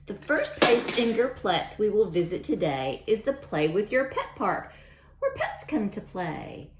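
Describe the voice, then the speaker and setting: Slow, lively woman reading to children, roomy sound. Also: mid-range voice, heavy background noise. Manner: sing-song, asks questions and repeats lines. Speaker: children's story reader. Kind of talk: read-aloud storytime for young children.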